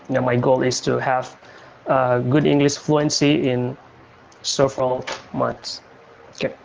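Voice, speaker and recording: A young man from Indonesia hosting a casual livestream chat, talking in a halting way with many fillers and restarts. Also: mid-range voice, creaky, a little hiss or hum, low-quality recording. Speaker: livestream host.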